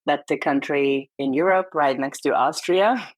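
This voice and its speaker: A woman's voice: deep voice, unhurried, no room sound. A woman in her thirties from Hungary, speaking with a Hungarian accent as a podcast guest, candid and reflective with hesitant fillers. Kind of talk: casual interview chat.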